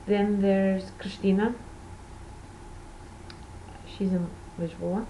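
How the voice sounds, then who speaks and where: Portuguese-born woman hosting a knitting podcast, mid-pitched voice, wanders and corrects herself as she talks. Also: fairly even intonation, drawn-out words, noisy background. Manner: rambling, self-correcting, conversational. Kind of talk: casual podcast chat.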